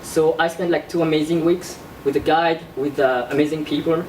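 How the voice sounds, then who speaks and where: A young man gives a casual talk about his own artwork, pausing and restarting often. His voice sits a little high. The room is echoey and he is picked up from across it, with a faint hum behind him. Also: steady tone, darkish tone, recorded from a distance. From born in South Korea, raised in France. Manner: halting, many fillers and restarts. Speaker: visual artist presenting his work. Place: classroom with projector screen. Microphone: far camera at back of room. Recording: roomy echo from across the room.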